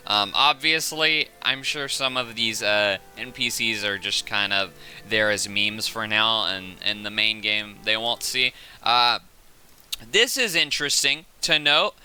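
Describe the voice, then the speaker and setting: A man giving casual, unscripted commentary as the host of a game footage breakdown, excitable and often correcting himself. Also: medium pitch, clean tone.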